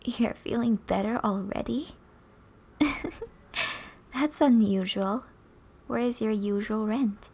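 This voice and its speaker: Woman playing a flirty affectionate wife, ASMR roleplay, very slow playful pace, soft breathy tone, slightly high voice. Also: a little hiss or hum. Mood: affectionate, teasing. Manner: slow, playful, intimate delivery.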